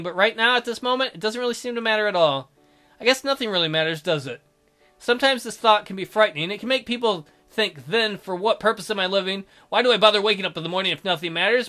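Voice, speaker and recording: A man's voice: sits high, pushed and bright. A man reading visual novel narration aloud as a dramatic let's play narrator, with music behind him.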